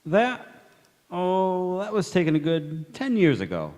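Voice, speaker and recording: A man's voice: sits high, wildly swooping pitch, measured pace, a little mellow, exaggerated voice. A man acting a hearty, blunt and curious family man in a stage radio drama. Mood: blunt, surprised. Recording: echoey hall with long reverb.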